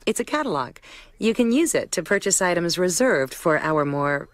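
A courteous bank representative, voiced by a woman, offers her services in a formal sales tone. Her voice is on the low side and the sound is dry and close. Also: expressive, moderate pace, no echo, close to an everyday voice. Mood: polite. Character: polite female bank representative.

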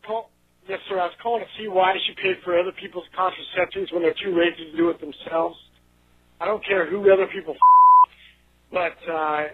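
A man leaves an opinionated voicemail over a dull, lo-fi phone line. His voice is high for a man and rough-edged. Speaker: voicemail caller. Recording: muffled lo-fi telephone voicemail.

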